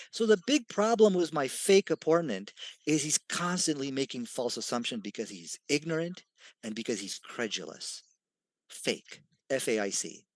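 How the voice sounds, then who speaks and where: A man's voice: mid-range voice, no room sound, poor audio. A man delivering an argumentative debate rebuttal in a dismissive, emphatic manner.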